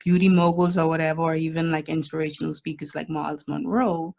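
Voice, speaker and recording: A woman's voice: sits low, little pitch movement, fast talker, gravelly, a little mellow. A woman co-hosting a casual podcast, talking earnestly and plainly about life at home. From Bahamas. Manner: earnest, opinionated, plain-spoken. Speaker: podcast co-host. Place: the Bahamas, remote call. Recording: dull lo-fi audio.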